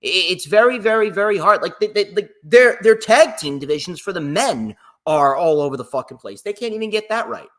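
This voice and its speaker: A man's voice: high-pitched, expressive, fairly quick, hardly any pauses, a bit bright. A young man co-hosting a casual wrestling podcast, swearing freely and arguing back with a laugh. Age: young adult. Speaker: podcast co-host and DJ.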